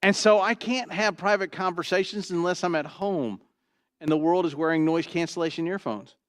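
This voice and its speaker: Booming preacher, dry close sound. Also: male voice, normal speed, smooth, dry recording. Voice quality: booming trained voice. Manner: emphatic, swinging from loud to quiet, repeats "Why?". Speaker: pastor. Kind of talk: expository sermon. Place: church sanctuary.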